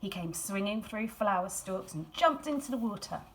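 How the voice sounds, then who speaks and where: A woman reads a children's storybook aloud expressively, in a measured storytelling manner with dramatic emphasis and hushed phrases. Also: medium pitch, expressive, a little hiss or hum. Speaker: school staff member reading a picture book aloud.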